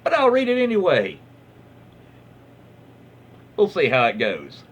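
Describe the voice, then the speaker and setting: A man's voice: a little high, wildly swooping pitch, slow, fairly bright tone, breathy edge, highs cut off. A man from Alabama with a Southern accent, reading online posts aloud and breaking off for wry, joking asides. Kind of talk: casual reading with commentary.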